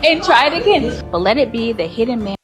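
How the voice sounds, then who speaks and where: Young woman preaching in a social clip, really animated highish voice, music under it. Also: fast talker. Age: young adult. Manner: exhortative, acts out quoted remarks. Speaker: online video creator. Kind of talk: emphatic preachy monologue.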